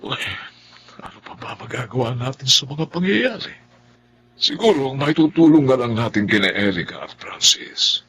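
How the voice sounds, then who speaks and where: A male actor playing a concerned, prayerful family elder in a Filipino drama, in an animated conversational manner. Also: mid-range voice, normal speed, breathy edge, full character voice. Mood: resigned concern. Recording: faint hum and noise.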